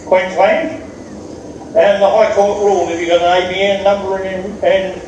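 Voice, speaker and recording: A man giving a loud, emphatic public lecture. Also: high voice. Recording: very echoey room, speaker far from mic, noisy.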